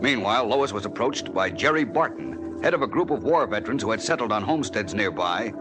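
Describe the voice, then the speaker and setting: A man's voice: on the low side, lively intonation, fairly quick, low-quality recording. A man narrating a 1940s radio serial as announcer, emphatic, suspenseful and theatrical. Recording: old radio broadcast with music behind.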